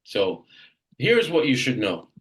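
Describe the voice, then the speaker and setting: A man from Jamaica runs a group coaching session for real estate agents. He is direct and emphatic and often repeats a point twice. His voice sits at an ordinary middle pitch. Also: huge pitch swings, takes it slowly, a bit bright, low-quality recording.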